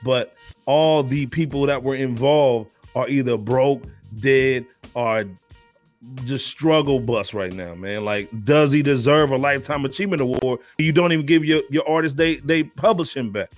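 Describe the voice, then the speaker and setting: Man, medium pitch, blunt, challenging, punchy casual podcast talk, faint music bed. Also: moderate pace. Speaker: podcast co-host. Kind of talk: casual hip hop discussion.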